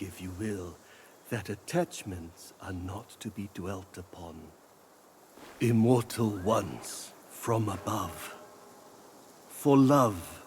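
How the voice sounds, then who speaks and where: An actor playing a calm, wise old male sage reciting poetic lines in a video game. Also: on the low side, a little hiss or hum. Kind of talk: video game cutscene narration.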